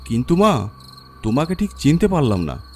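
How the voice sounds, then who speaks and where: A man's voice: mid-range voice, sing-song, normal speed, darkish tone, slight background noise. A man voicing a kindly, brave older village watchman in a horror cartoon, earnest and fatherly. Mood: gentle, puzzled. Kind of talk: horror cartoon story acting.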